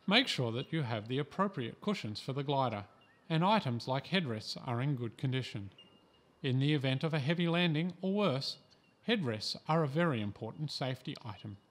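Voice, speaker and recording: A man from Australia reading a scripted training voice-over in a calm, measured, instructive way. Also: medium pitch. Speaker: instructional narrator.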